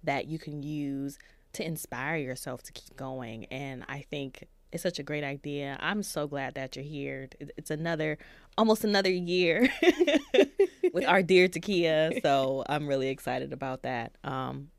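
Low-voiced, reflective woman in her thirties. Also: unhurried, no room sound. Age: 30s. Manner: soft-spoken and reflective. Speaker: podcast co-host. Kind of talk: casual podcast chat.

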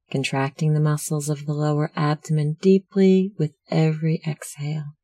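A meditation instructor narrating a guided meditation in a measured, soothing, instructional manner. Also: medium pitch, mellow, no room sound.

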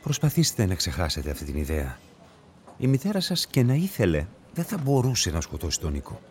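An actor in a radio play playing a patient, methodical police investigator who questions and explains in a measured way. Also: male voice, low-pitched, wildly swooping pitch, darkish tone, a little hiss or hum. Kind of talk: radio drama acting.

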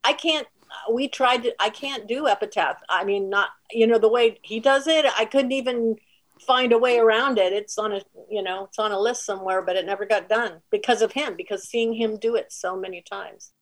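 A female singer with a soft voice, telling anecdotes casually in an interview. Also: on the high side, moderate pace, low-quality recording.

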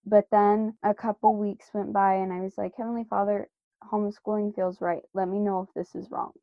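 A woman's voice: medium pitch, little pitch movement, normal speed, dry recording, highs cut off. A woman guest earnestly telling a personal story in a casual faith discussion.

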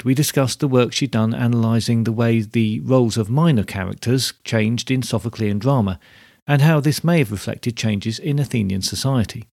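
A man's voice: mid-range voice, vocal fry, darkish tone, dry recording, studio quality. A man reading scripted narration as the host of a podcast.

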